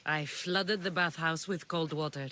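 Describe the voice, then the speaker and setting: A woman's voice: low voice, fairly even intonation, measured pace, bright, forceful tone, a little hiss or hum. An actress playing a tough, no-nonsense female mercenary of ancient Greece, blunt and direct, in a video game. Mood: firm. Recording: game music behind the voice.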